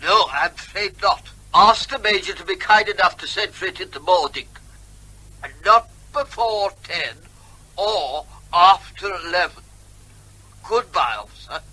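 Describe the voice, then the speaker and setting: An actor playing a mock-polite, evasive neighbour who needles and quibbles in breezy, pedantic fashion in a comic radio play. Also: male voice, sits high, a little slow, bright, forceful tone, exaggerated voice, rough recording. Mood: feigned regret. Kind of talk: comic radio drama acting.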